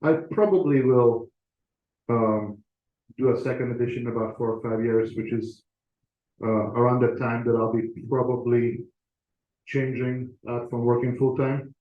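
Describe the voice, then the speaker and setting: A man's voice: mid-range voice, steady tone, measured pace. A man giving an informal, conversational talk as a guest speaker to an audience, with a self-deprecating touch. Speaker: author and guest speaker presenting to a club. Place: meeting room, talk to a live audience. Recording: echoey room, slightly muffled audio.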